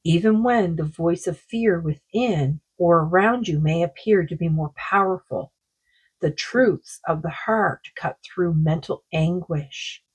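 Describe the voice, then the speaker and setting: A woman with a sore throat giving a rambling, casual oracle card reading, full of fillers and slow read-aloud passages. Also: fairly low pitch, husky, low-quality recording. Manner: rambling, many fillers, reads card texts aloud slowly. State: sore throat, losing her voice.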